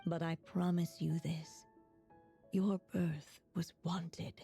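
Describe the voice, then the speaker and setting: A woman voicing a calm, stately witch narrating a storybook tale in a video game, measured, solemn and literary. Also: fairly low pitch, creaky, soft background music. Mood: tender. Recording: long hall-like reverb.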